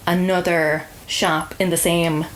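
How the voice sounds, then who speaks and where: A woman's voice: lowish voice, level tone, slow pace, a little hiss or hum, low-quality recording. An Irish woman telling a personal story as a podcast guest, in a casual, wry and understated conversational way.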